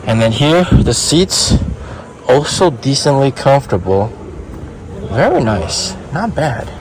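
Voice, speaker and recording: A man's voice: mid-range voice, very animated, slow, noisy background. A man hosting a casual car review vlog, talking to viewers in an emphatic way and repeating words for stress. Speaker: host of an electric car stock channel.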